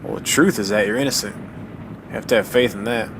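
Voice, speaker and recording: A man voicing a calm, practical male investigator in an old-time style radio mystery drama. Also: fairly low pitch, fast, lightly acted. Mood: tense. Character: steady male investigator on a train. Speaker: voice actor. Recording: noisy radio drama recording.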